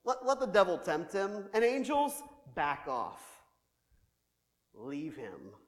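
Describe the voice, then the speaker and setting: A man voicing God the Father as a loving, approving divine voice, spoken softly and solemnly. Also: high voice, huge pitch swings, takes it slowly, full character voice. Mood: commanding. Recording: reverberant church hall.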